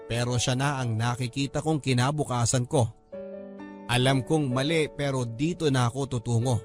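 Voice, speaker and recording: A man with a Filipino accent reading a scripted first-person story as a radio drama narrator. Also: mid-range voice. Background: music.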